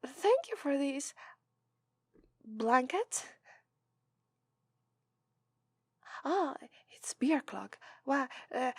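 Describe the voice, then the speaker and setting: A cheerful young demon princess bride, voiced by a woman with an Italian accent and a high, sweet voice. She speaks slowly and fondly, breaking into giggles and flustered stammers. In an ASMR roleplay she sounds loving toward her partner. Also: dry recording, studio quality. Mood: flustered, polite. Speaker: voice actress.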